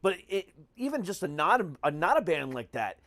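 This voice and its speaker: Dry-sounding American man, casual and halting. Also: sits high, very animated, a bit bright, dry recording. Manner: halting, repeats and reworks his phrases. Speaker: podcast host. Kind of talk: casual chat.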